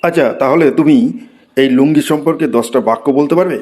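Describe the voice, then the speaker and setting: A man voicing an exasperated, excitable Bengali schoolteacher in a comedy cartoon, theatrical and given to exclaiming. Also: on the high side, fast talker, natural voice. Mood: questioning. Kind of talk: comic cartoon voice acting.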